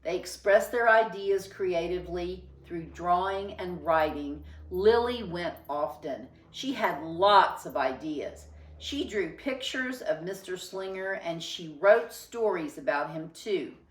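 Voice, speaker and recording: A woman narrates a children's picture book in a fairly low voice. She takes her time, phrasing each line with deliberate emphasis and expression. The recording has a noticeable room echo. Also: lively intonation, far from the mic. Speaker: storybook reader. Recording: roomy, echoing sound.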